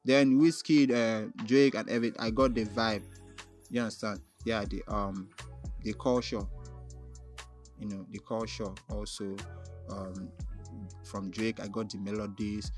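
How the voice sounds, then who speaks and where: A man with a Nigerian, Igbo-influenced accent, a native Igbo speaker, answering casually as an interview guest, often pausing and circling back as he thinks aloud. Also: fairly low pitch, steady tone, soft background music. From Onitsha, southeastern Nigeria. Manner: halting, frequently repeats "like" and "you know" while thinking aloud. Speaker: music artist interviewed as guest.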